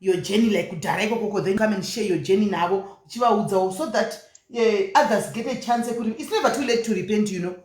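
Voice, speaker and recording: A woman hosting a live chat show, speaking in a calm, affirming, unhurried way. Her voice sits in a middle pitch and sounds roomy, with a noticeable echo around it. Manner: calm, affirming, frequent murmured agreement. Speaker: host of live advice show. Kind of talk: casual live-stream chat. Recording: echoey room.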